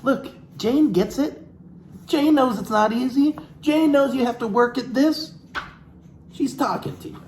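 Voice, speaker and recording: A man's voice: extremely high pitch, a little slow, a little mellow. A man reading a self-help book aloud in a theatrical, playful way, breaking off into wry, joking asides of his own. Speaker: comedic reader and commentator. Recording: echoey room with background hiss.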